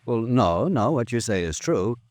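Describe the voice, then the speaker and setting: A man's voice: medium pitch, big pitch swings, moderate pace, a little mellow, no echo, lightly acted. An actor playing a prim, evasive male secretary in a 1950s radio drama, speaking with formal, cautious politeness. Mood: guarded. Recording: restored 1950s radio recording.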